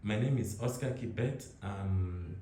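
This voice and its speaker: A young man with a Kenyan accent talks in a relaxed, rambling way, often restarting his words. His voice sits low and dark. The room has a strong echo. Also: measured pace. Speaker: interviewee. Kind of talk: casual conversation.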